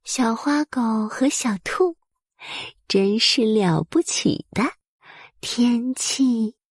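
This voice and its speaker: Slow, soft breathy woman storyteller. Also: fairly high pitch, no echo, studio quality. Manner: soothing, careful phrasing for small children. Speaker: children's bedtime story narrator. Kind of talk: gentle scripted storytelling for young children.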